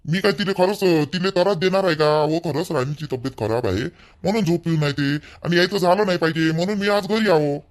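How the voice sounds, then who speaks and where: A man's voice: on the high side, quick pace, close to an everyday voice. A man voicing an exasperated husband and brother in a family cartoon, argumentative and insistent, with a Varhadi Marathi accent. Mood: placating. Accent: Varhadi Marathi (Vidarbha). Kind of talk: animated family drama acting.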